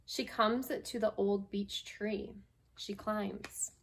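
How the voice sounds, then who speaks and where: Female storyteller for a children's read-aloud, mid-range voice, simple and deliberate phrasing. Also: mid-range voice, fairly even intonation, fairly bright tone, a little hiss or hum. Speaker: guest storyteller, school staff member.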